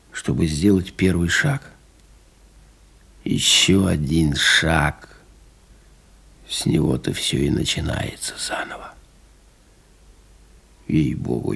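An actor playing an exhausted, stubborn pilot recalling his survival, halting and dogged, in a radio-play monologue. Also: extremely low pitch, heavily acted. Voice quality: gravelly, husky.

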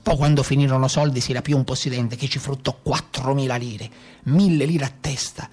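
An actor playing a rough, boastful Maremma brigand in a theatrical monologue, with a Tuscan accent and earthy, colloquial storytelling. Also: male voice, mid-range voice, steady tone, a little fast, darkish tone, natural voice. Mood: boastful, blunt.

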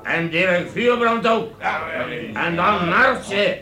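An actor playing a gruff, bossy medieval vagabond chief in a radio drama, with an echoey room and music behind. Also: male voice, high-pitched, drawn-out words, crowd noise. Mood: commanding. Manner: gruff, commanding. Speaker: gang leader.

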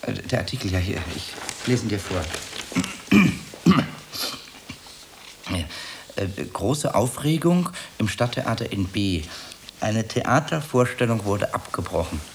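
Deep-voiced, clingy bank clerk suitor. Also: male voice, a little slow, creaky, pauses often. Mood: eager. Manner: hesitant, plaintive, repeats himself. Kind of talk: German radio play acting. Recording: steady background hiss.

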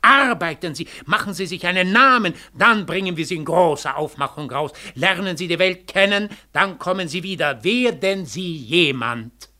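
Pompous, swooping cabaret director. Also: male voice, high voice, lively intonation, moderate pace, hardly any pauses, bright, forceful tone, heavily acted. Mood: patronizing. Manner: theatrical, condescending, effusive. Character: pompous, patronizing, self-important male cabaret director. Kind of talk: 1940s German radio drama acting. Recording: 1940s radio recording.

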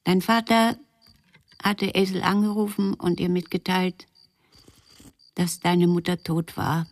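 An actress playing a frail elderly woman in a radio drama, quietly recalling people from long ago. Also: fairly low pitch, little pitch movement, unhurried, dry recording. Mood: quiet, sorrowful. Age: older.

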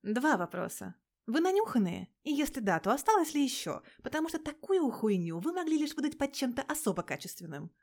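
Woman doing a tiny mouthy cop lady, slangy and suspicious, scolds her colleagues, pitched up. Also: big pitch swings, brisk, a little mellow, full character voice. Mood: scolding, sarcastic.

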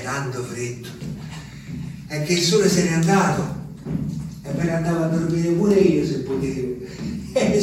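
An actor playing a lonely old peasant man, musing aloud to the moon in a theatrical monologue. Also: high voice. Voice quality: musing, talks to the moon, rambling. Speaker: stage actor. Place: theatre stage. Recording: distant stage recording with reverb and faint noise.

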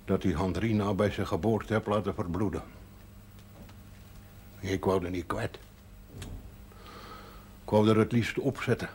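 An actor playing a gruff, stubborn, earnest rural man in a naturalistic television period drama. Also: on the low side, a little mellow, lightly acted. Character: gruff, stubborn rural man, former poacher turned faith healer. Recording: 1970s TV audio with faint noise.

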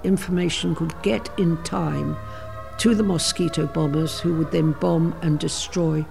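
A woman's voice: low-pitched, fairly even intonation, a little slow, gravelly, over music. An older woman, a veteran, giving interview testimony about her past. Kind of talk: interview reminiscence.

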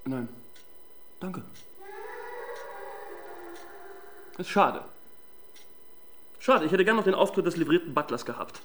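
Ein Schauspieler spielt in einem Krimihörspiel einen respektlosen Kriminalbeamten, lässig, spöttisch und beiläufig. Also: male voice, a little high, expressive, normal speed, a bit bright, slight background noise. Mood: flippant. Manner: casual, mocking, offhand. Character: wry, irreverent male police detective sidekick. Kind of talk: crime radio drama acting.

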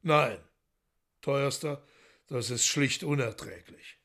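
Creaky-voiced, lordly magician. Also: male voice, medium pitch, slow, fairly bright tone, exaggerated voice. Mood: lordly indignation. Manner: grandly courteous, theatrically indignant. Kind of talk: radio drama acting.

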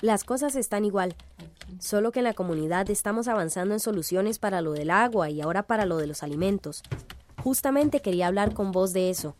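An actress playing a cheerful, earnest community organizer in a radio drama. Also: female voice, mid-range voice, animated, brisk, darkish tone, slight background noise, natural voice.

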